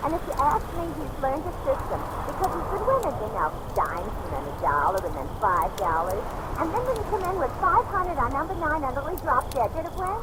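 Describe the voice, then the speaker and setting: A woman's voice: high-pitched, very animated, fast talker, hardly any pauses. A woman playing a chatty, nervous, slangy booth vendor in a 1940s radio drama. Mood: excitable, nervous. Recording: vintage radio recording buried in fire noise. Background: loud crackling bonfire ambience.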